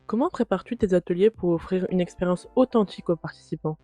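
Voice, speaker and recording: A woman's voice: fairly low pitch, fast talker, warm tone, faint music behind. A woman interviewer reading out prepared questions to her guest.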